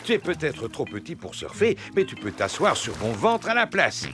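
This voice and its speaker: A man's voice: a little high, huge pitch swings, moderate pace. A man voicing a jolly, hapless, exclamatory father pig in a French cartoon dub. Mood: eager, playful. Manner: exclamatory, good-natured. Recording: cartoon music behind the voice.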